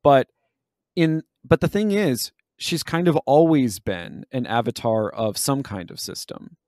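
A man's voice: medium pitch, unhurried, darkish tone, dry recording, studio quality. A man co-hosting a podcast, talking analytically and searching for his words with frequent self-corrections. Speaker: podcast co-host. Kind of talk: analytical discussion of a video game.

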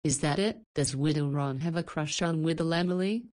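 A woman acts out the motherly head of a halfway house in a visual novel. Her voice is notably low. She chats and teases with a playful, scolding manner. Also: fairly quick, darkish tone, lightly acted. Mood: teasing, motherly.

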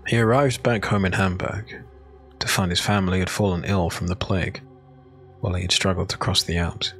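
A man giving solemn, measured, dramatic narration of a dark fantasy audiobook. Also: low-pitched, steady tone. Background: music.

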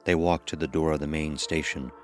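Super deep voiced guy narrating a horror story, measured and ominous, bit of music behind. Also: fairly even intonation. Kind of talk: scripted dark horror narration.

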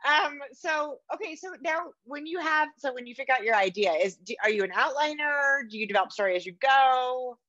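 A woman's voice: sits high, lively intonation, a little fast, few pauses, fairly bright tone, no echo. A middle-aged American woman chatting casually as an interview host on a video call. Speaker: podcast host and author. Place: indoor room at home, video call. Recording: slightly dull video-call audio.

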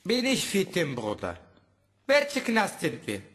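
Tough, wildly expressive kid. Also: female voice, fairly low pitch, slow pace, slight background noise, heavily acted. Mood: suspicious. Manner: blunt. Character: defiant, tough, protective child.

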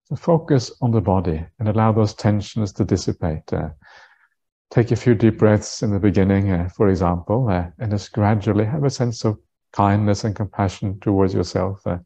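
A man in his fifties from Norway, a meditation teacher, gently guiding a meditation in an unhurried, halting way with frequent pauses and uhs. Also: lowish voice, slightly rough, lo-fi sound. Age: 50s. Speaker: Buddhist monk, meditation teacher. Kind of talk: guided meditation instruction.

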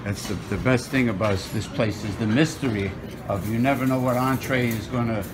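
A man's voice: medium pitch, brisk, background noise. A man giving a wry, chatty running commentary while filming a buffet on a handheld phone. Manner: chatty, wry, talks to himself while picking food. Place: indoor buffet restaurant. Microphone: handheld phone camera.

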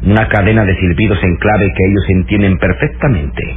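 An actor playing a sly, smug underworld informant, speaking with measured deference and sly relish in an old radio serial. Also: male voice, deep voice, steady tone, background noise, natural voice. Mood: smug, menacing. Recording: tinny band-limited old radio serial, music.

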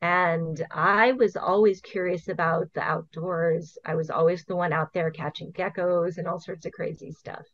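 A woman's voice: lowish voice, fairly even intonation, moderate pace, hardly any pauses, no echo. A middle-aged woman from Hawaii, a program manager being interviewed, explaining things earnestly and step by step with the odd laugh. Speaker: invasive species program manager, guest. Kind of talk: casual interview answers. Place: home video call. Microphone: video call. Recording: dull, dry video call audio.

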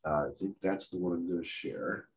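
An older man from the United States speaks in a very low voice, stuffy and congested from a head cold. He stops and restarts a lot and drops in wry asides as he chats over a webcam from his study, with a faint hiss behind him. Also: fairly even intonation, brisk. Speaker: guest speaker. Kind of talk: casual talk over a video call. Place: home office or study, indoors. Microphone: video call webcam.

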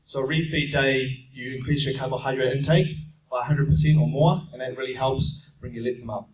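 A young New Zealand man talks through audience questions at a seminar, explaining in a steady, plain way with frequent "um"s. His voice sits in a middling range. It is picked up from across a roomy, echoing conference hall, with faint noise behind it. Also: medium pitch, brisk, far from the mic. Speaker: fitness speaker answering audience questions. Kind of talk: casual Q&A seminar talk. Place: large conference room at an expo. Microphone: handheld mic, heard from a distant camera. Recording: echoey room heard from afar.

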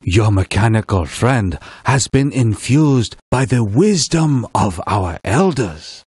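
A man playing a solemn, wise old village lama who speaks gravely and cryptically in a children's game. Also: on the low side, very animated, takes it slowly, darkish tone, exaggerated voice. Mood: solemn counsel. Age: older. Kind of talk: children's educational game acting.

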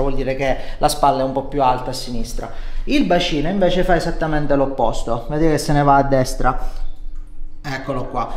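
A middle-aged man, a chiropractor explaining posture in a didactic way and often checking that he is understood. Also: a little high. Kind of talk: explaining posture during a filmed treatment. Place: treatment room with wooden floor and furniture, indoors. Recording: echoey room sound.

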